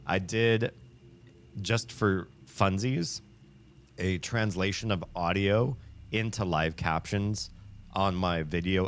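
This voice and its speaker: A man's voice: deep voice, slight background noise. A man hosting a livestream, chatting with viewers in a rambling, upbeat way, full of fillers and restarts. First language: English. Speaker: livestream host. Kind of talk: casual chat with viewers.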